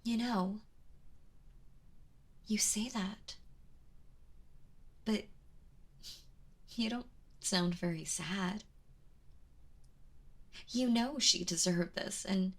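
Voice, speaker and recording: A woman playing a kind but obsessive, protective yandere in an intimate ASMR roleplay, speaking haltingly and often dropping toward a whisper. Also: medium pitch. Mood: soothing, sinister. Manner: hesitant, halting, breathy with drops into whispering.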